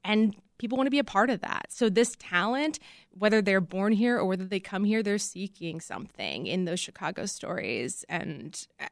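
A woman's voice: mid-range voice, animated, normal speed, no echo, studio quality. A woman answering interview questions as a guest, speaking casually and conversationally about her creative work. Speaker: interview guest, casting director.

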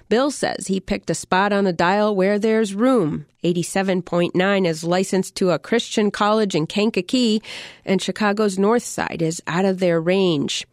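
A woman's voice: mid-range voice, no room sound, studio quality. A woman with an American accent narrating a scripted radio feature as a reporter.

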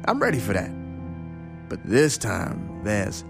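A man playing a streetwise factory worker telling his story colloquially in a radio drama, with a gravelly, wry voice. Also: on the low side, wildly swooping pitch, slow pace, full character voice. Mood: wary. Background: music.

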